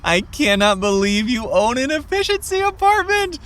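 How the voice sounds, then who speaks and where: A man as a sarcastic, animated slacker sidekick. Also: extremely high pitch, moderate pace, exaggerated voice. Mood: amused, incredulous. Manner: slangy, incredulous, sarcastic. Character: Tim, sarcastic, foul-mouthed laid-back slacker podcast producer. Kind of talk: comedic audio drama, casual banter.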